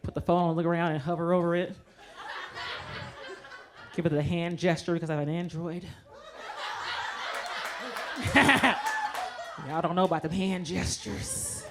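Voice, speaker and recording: A woman performing a stand-up comedy set on stage before a live audience, brash and punchy, and quick to break into acted-out quotes. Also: fairly low pitch, noisy background.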